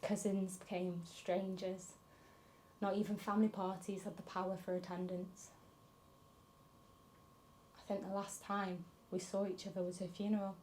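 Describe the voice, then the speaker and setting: A woman's voice: medium pitch, little pitch movement, moderate pace, long pauses, a little mellow, a little hiss or hum, natural voice. A woman playing a grieving granddaughter, reflecting confessionally on her fractured family in a film monologue. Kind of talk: dramatic monologue in a short film.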